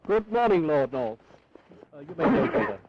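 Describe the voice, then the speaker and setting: An actor playing an indignant, principled old British general and minister in a historical audio play, sardonic and oratorical. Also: male voice, a little high, big pitch swings, moderate pace, heavy background noise. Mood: curt, courteous. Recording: slightly dull 1980s audio recording.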